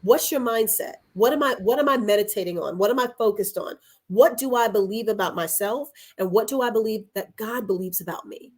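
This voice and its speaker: Woman on a podcast, medium pitch, earnest, thinking out loud, repeats herself a bit. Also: a little fast. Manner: earnest, rhetorical questions and repetition. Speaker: podcast guest. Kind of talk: reflective conversational talk.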